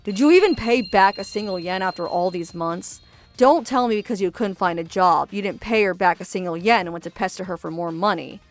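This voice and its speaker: Woman doing a stern young friend laying down the law, mid voice, soft music under it. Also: mid-range voice, fairly quick, lightly acted. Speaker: narrator and voice actor in a manga dub. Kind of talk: dubbed manga drama with narration. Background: faint background music.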